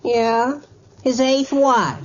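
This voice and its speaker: A man's voice: extremely high pitch, extremely slow, noisy background, heavily acted. A man playing a whiny, dim but blunt teenage boy in an old radio comedy, on a muffled recording. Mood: puzzled.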